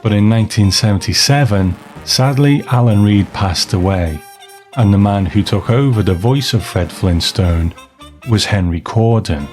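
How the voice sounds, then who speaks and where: Low-voiced man hosting scripted commentary. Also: moderate pace, darkish tone, faint music behind. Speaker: podcast host. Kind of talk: scripted commentary on classic television.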